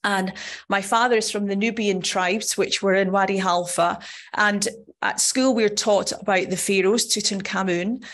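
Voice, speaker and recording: A middle-aged Scottish woman speaks to a conference as a nursing professor. Her pitch sits in the usual range for a woman. She talks earnestly and conversationally, posing rhetorical questions as she presents. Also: fairly even intonation, moderate pace. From Scotland.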